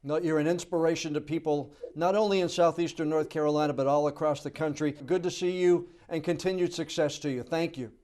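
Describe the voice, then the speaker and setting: A man hosting a podcast, asking interview questions and reading a closing outro at a measured pace, with occasional stammered restarts. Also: on the high side, fairly even intonation, darkish tone. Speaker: podcast host.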